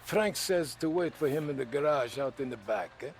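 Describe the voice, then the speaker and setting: An actor playing a friendly, chatty barkeep for the mob in a game drama, with a gravelly voice. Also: male voice, mid-range voice, lively intonation, moderate pace, natural voice. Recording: noisy ambience behind voice.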